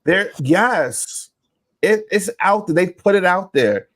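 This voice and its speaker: A man's voice: high voice, expressive, slow pace, a bit bright. A man as a guest panelist, trading casual banter in a blunt, wry way. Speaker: talk show guest panelist.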